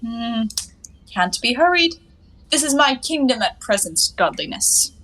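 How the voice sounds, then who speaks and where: A woman's voice: fairly high pitch, very animated, measured pace, fairly bright tone, exaggerated voice, poor audio. An actress playing a carefree, cheeky young woman of a 1920s stage comedy, with airy, teasing, mock-grand phrasing. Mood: breezy, teasing. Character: playful, cheeky, carefree young woman lounging in her bath.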